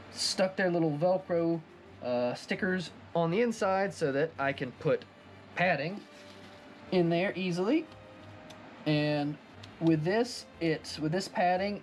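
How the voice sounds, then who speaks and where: A man with a fairly high voice chats through a hobby project in an enthusiastic, conversational way. He sounds like a casual vlogger showing his work, with music playing faintly behind him. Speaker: hobbyist craft video presenter. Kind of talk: casual project recap vlog.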